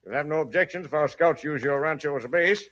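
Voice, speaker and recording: An actor playing a formal, firm army captain in an old-time radio western. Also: male voice, a little high, fast, fairly bright tone, close to an everyday voice. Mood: official, persuasive. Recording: muffled vintage radio drama recording.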